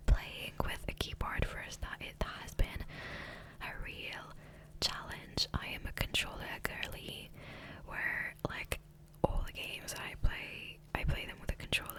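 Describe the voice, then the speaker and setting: A woman whispering breathily in a casual, rambling ASMR style, close to the microphone. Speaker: ASMR gaming video creator. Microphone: close USB condenser microphone.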